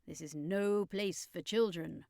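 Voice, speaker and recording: A woman's voice: lowish voice, measured pace, vocal fry, a little mellow, no room sound, studio quality. A woman voicing an assured male circus master in an audiobook, explaining and leading in a commanding manner. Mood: assured, businesslike.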